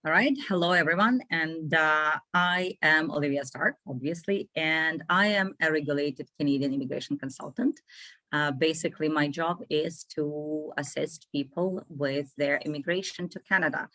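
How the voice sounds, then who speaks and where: A woman, her voice sitting low for a woman. She talks in an animated, conversational way and repeats phrases to stress them. The sound is close and dry, with no room echo. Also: normal speed. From Ukraine. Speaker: immigration consultant, guest. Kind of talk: casual interview talk.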